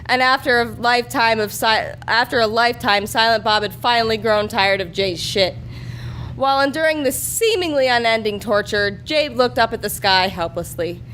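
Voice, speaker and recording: A woman's voice: a little high, moderate pace, fairly bright tone, slight background noise. A woman performing a live reading of a comic adult story as narrator. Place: live show before an audience.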